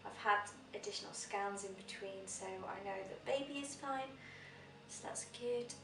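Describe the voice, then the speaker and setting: A young woman, slightly croaky from a cold, chatting casually through a pregnancy diary vlog with many "um" fillers. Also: mid-range voice, moderate pace, noisy background. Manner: chatty, frequent "um" fillers, matter-of-fact. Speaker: vlogger. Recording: echoey room, mic at a distance.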